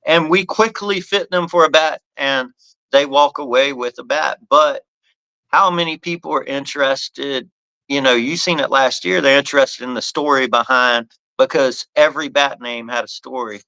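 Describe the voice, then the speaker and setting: A man's voice: mid-range voice, moderate pace, bright, forceful tone, smooth, dry recording, rough recording. A man hosting a casual live podcast, talking loosely about his company's products and often restarting his phrases. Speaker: podcast host and bat company owner.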